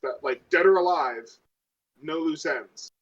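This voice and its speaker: Dull, lo-fi audio of a man with a slightly high voice. He is a tabletop game player, chatting and bantering casually. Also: measured pace, slightly rough.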